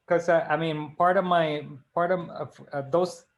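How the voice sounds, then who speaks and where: A middle-aged man from the Dominican Republic, co-hosting a casual video-call discussion, speaking haltingly with many fillers and restarts. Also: fairly high pitch, steady tone. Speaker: librarian and co-host. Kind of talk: casual live-stream discussion.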